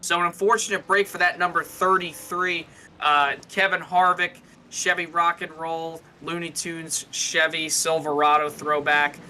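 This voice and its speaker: A man's voice: a little high, flat delivery, unhurried, pushed and bright, slight background noise. A man giving live co-commentary and analysis on a sim race in a casual, conversational way.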